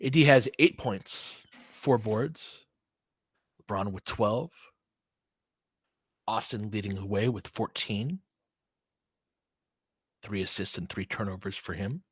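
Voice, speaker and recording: A man's voice: fairly low pitch, takes it slowly, long pauses, slightly rough, no echo. A man doing live basketball play-by-play in short clipped phrases, with casual, partisan asides like a fan commentator.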